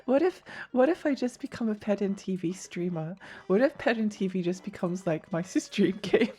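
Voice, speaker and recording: A woman streaming a video game, chatting casually with many fillers and little laughs, her voice a bit croaky. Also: mid-range voice, expressive, normal speed, warm tone. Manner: rambling, giggly, many fillers and restarts. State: slightly croaky voice. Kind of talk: casual live-stream chatter while gaming.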